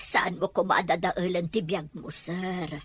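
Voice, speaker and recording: A woman's voice: on the low side, steady tone, a little slow, husky. A woman with a Filipino accent acting plaintive, put-upon family women in an audio drama. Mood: indignant.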